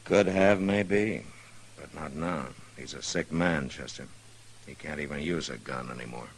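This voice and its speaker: Laconic lawman, bottom-deep voice, concerned and steady, vintage radio drama with faint noise. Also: measured pace, creaky, full character voice. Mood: somber. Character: steady, concerned frontier marshal in the Old West. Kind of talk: 1950s radio western acting. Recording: noisy old radio drama recording.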